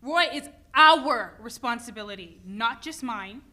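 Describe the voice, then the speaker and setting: A woman's voice: on the high side, expressive, a little slow, sharp tone. A woman playing a stressed, driven mother and restaurant owner in a dramatic play reading. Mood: exasperated, defensive.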